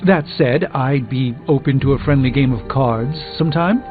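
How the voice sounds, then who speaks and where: An actor playing a learned, composed sorcerer in a video game, speaking in a formal, eloquent, dryly witty way. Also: male voice, medium pitch, moderate pace, phone-quality sound, over music, close to an everyday voice. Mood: dry humour. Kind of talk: scripted video game dialogue.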